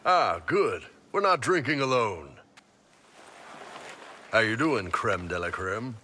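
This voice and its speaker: An actor playing a big, brash, easygoing horned mercenary warrior in a video game, with a deep, gravelly voice and blunt, casual talk. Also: male voice, lively intonation, slow pace, sharp tone, a little hiss or hum, heavily acted. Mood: warm, relaxed. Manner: blunt, jovial, casual, swearing.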